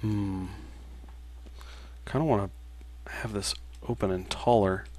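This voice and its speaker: A man in his thirties chatting casually on a livestream while he plays, a game developer answering viewer questions in a relaxed, halting way with many fillers. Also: fairly low pitch, no room sound. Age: 30s.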